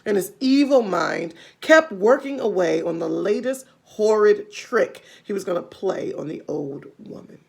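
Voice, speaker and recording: A woman reading a children's story aloud expressively, pausing to explain things simply. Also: medium pitch, lively intonation, vocal fry, a little mellow, slightly rough.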